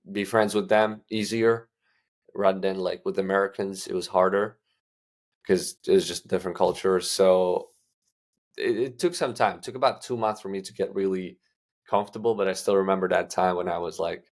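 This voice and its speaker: Young male guest, from Uzbekistan, deep and gravelly, relaxed rambling answers. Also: moderate pace. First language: Russian. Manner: loose, filler-heavy, many restarts. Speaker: guest entrepreneur. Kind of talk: casual interview answers.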